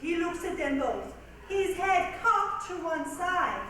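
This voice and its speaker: Woman as a very high-pitched, witchy fortune-teller. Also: big pitch swings, slow, fairly bright tone, recorded from a distance, full character voice. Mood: excited. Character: witchy crystal-gazing fortune-teller woman, sly and excitable. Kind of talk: reader's theatre stage acting. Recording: echoey stage recorded from afar, noisy.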